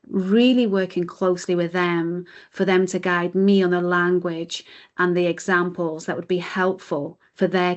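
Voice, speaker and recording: A British woman giving earnest, explanatory answers as the guest in a conversational interview. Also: on the low side. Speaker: interview guest, chief executive of a mindfulness charity.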